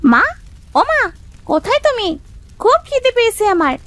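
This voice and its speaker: A spoiled little schoolgirl from a cartoon, spiteful and bratty. The voice is very high and swoops freely, recorded dry with light music underneath. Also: very animated, moderate pace, fairly bright tone, dry recording, heavily acted. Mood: demanding. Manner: bratty, childlike. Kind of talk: Bengali cartoon voice acting.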